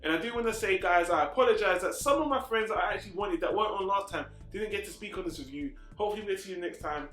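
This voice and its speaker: Fast high-pitched man reviewing in a roomy space. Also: fairly bright tone. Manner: emphatic, blunt, swears casually. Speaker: host of a film review channel. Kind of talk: casual opinionated group movie review. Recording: roomy echo with faint music.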